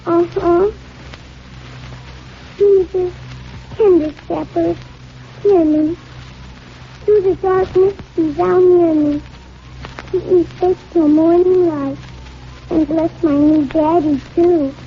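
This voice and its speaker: A woman's voice: extremely high pitch, steady tone, slow pace, long pauses, exaggerated voice. A woman playing a sweet, innocent little girl with a small childlike voice in a 1940s radio drama. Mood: earnest, tender. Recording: muffled noisy 1940s radio recording.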